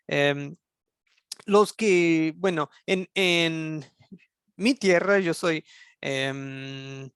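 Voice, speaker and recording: A man from the United States, a native English speaker with an American accent, teaching an online church history class in Spanish. He talks haltingly, with many fillers and restarts. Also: on the high side, fairly bright tone, clear, resonant tone, no echo, studio quality.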